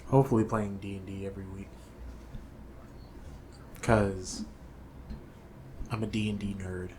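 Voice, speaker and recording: A man's voice: on the low side, level tone, a little slow, darkish tone. A man co-hosting a podcast, speaking in casual, conversational banter. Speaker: podcast co-host. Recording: faint ambient room noise.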